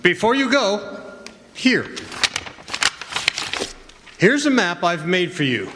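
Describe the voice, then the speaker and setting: A man playing a kindly old Santa figure in a stage radio drama, speaking gently. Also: sits high, sing-song, takes it slowly, full character voice. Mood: warm, kindly. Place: college campus stage performance in a hall. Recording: echoey hall with audience noise.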